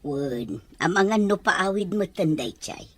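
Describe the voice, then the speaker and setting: A woman playing a mild, caring older mother in an audio drama, with a husky, breathy voice. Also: on the low side, animated, measured pace. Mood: gentle, hesitant. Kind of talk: amateur audio drama dialogue.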